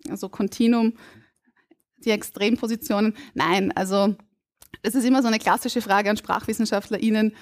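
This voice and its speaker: Measured Austrian woman explaining linguistics. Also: mid-range voice, fairly even intonation. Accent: Austrian German. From Burgenland, Austria. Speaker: guest linguist. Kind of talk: live podcast conversation. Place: stage before a live audience.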